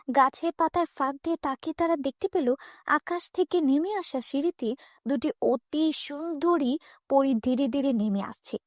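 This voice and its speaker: A woman with a high voice narrates a children's fairy tale from a script. The recording is close and very dry. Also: no room sound.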